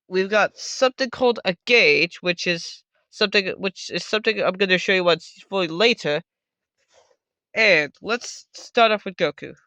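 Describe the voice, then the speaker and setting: A man hosting a casual, unscripted how-to video, talking loudly and rambling as he corrects himself. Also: sits high, smooth, no room sound. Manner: loud, rambling, self-correcting. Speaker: host of a card game tutorial video. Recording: dull, lo-fi sound.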